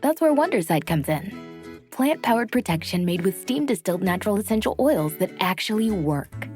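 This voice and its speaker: A woman's voice: medium pitch, big pitch swings, moderate pace, darkish tone, soft background music. A woman reading a scripted advertisement as an announcer.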